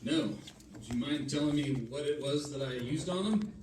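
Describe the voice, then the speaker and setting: A man's voice: fairly high pitch, moderate pace, a little mellow, natural voice. A man playing a suave, unflappable, flippant amateur sleuth in old-time radio drama, with wry teasing banter. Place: live stage performance, mic at a distance. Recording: distant mic in echoey, noisy room.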